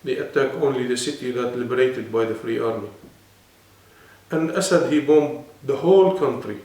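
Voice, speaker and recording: A man's voice: mid-range voice, normal speed, darkish tone. Earnest Arabic-accented man, echoey room. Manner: earnest, explanatory, broken English phrasing. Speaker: interview guest, poet. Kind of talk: serious conversational interview. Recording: echoey room, mic at a distance.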